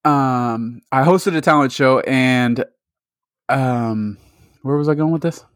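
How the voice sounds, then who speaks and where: A man's voice: mid-range voice, slow pace, smooth, dry recording, clean studio sound. A young man co-hosting a podcast, telling rambling stories with lots of swearing. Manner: heavy swearing, rambling storytelling. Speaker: podcast co-host. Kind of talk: casual podcast chat.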